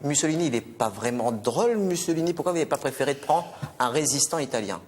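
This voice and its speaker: A man's voice: medium pitch, lively intonation, quick pace, darkish tone, big-room sound, slight background noise. A man hosting an interview, asking questions and prompting with short interjections. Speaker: interviewer host.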